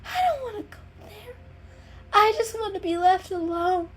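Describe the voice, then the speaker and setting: A woman's voice: extremely high pitch, lively intonation, normal speed, voice pushed up. A woman voicing a sick, grumpy female kitten in a storybook reading, congested and whiny. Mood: irritable, snappish.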